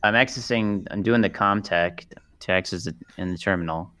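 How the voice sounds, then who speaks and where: A man with a low-pitched voice plays a tabletop roleplaying game. His talk is casual and conversational, that of a player working out his turn. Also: moderate pace, pushed and bright, soft background music.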